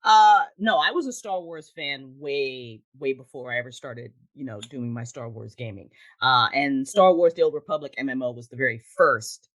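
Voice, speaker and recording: A woman chatting casually as an interview guest, animated and enthusiastic like a devoted fan. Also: a bass voice, moderate pace, hardly any pauses. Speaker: podcast guest, writer and audio drama creator.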